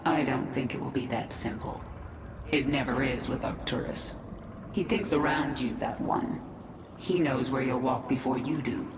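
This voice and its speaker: A woman's voice: rumbling low, moderate pace, background noise. An actress playing a vengeful, resolute alien swarm queen in a video game, with dramatic, commanding delivery. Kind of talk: video game cinematic acting. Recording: echoey voice with loud music.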